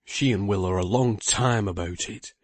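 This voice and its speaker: A man's voice: fairly low pitch, moderate pace, no echo, close to an everyday voice. A man voicing an anxious, earnest old working man.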